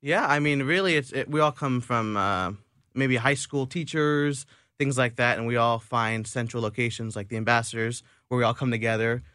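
Young man, early twenties, vocal group leader, radio guest, short good-humored answers, mid-pitched voice, dry studio sound. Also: moderate pace, bright, forceful tone, clean tone, no echo. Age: early 20s. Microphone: studio microphone.

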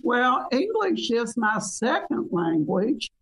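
A woman's voice: fairly high pitch, sing-song, takes it slowly, slightly rough, low-quality recording. An older woman with a Southern US accent, chatting enthusiastically as a guest on a radio talk show. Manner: warm drawl, enthusiastic, chatty. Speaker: guest, business mentoring chapter chair. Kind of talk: casual radio interview.

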